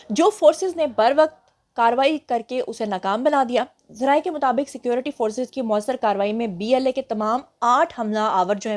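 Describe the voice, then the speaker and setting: A young Pakistani woman with a Pakistani Urdu accent hosting a casual news roundup for her channel, talking slowly and carefully because of a sore throat. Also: on the high side, a little mellow. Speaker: news show host.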